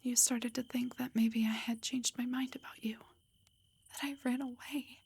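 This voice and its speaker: A woman playing a loving, protective elf wife in an intimate roleplay audio, speaking tenderly and reassuringly in a breathy whisper. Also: a little high, flat delivery, moderate pace, a little mellow, exaggerated voice. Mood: tender, soothing.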